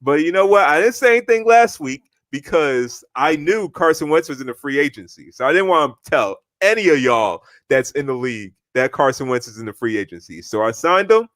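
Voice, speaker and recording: Boastful young man with a lively voice. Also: on the high side, brisk, few pauses, dry recording. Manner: boastful trash talk, repeats himself. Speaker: podcast co-host. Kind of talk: casual chat.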